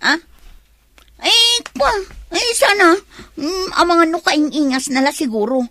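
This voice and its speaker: A woman's voice: very high-pitched, lively intonation, unhurried, pushed and bright, dry recording, heavily acted. An actress playing a scheming, evasive young woman in a radio drama. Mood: flustered, evasive.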